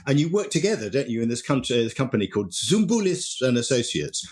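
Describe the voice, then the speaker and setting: A man's voice: medium pitch, big pitch swings, fast talker. Chatty male podcast host. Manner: chatty, friendly questioning with short affirmations. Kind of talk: casual interview.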